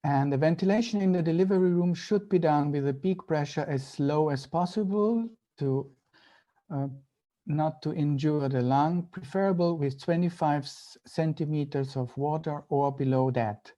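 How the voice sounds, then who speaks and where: A man with an Austrian accent, a native German speaker, giving an online medical lecture in halting, deliberate phrases. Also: on the high side, dark tone. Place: video call.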